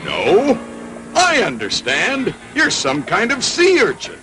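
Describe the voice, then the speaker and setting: A man's voice: high-pitched, huge pitch swings, slow, sharp tone, soft background music, heavily acted. A man voicing a monstrous, gluttonous green fisherman in a dubbed cartoon, drawling theatrically with false courtesy. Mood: gloating, curious.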